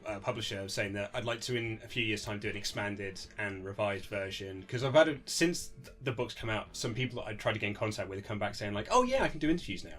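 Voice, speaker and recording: A man being interviewed as an author, chatting casually and telling anecdotes in an animated, conversational way. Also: lowish voice, fast, hardly any pauses, soft background music. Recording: lo-fi amateur interview setup.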